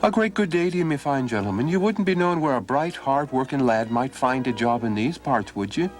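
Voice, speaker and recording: A man's voice: medium pitch, animated, a little fast, faint music behind, voice pushed up, close to an everyday voice. A man voicing a clever, cheeky young brother with a jaunty folksy manner. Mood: scheming.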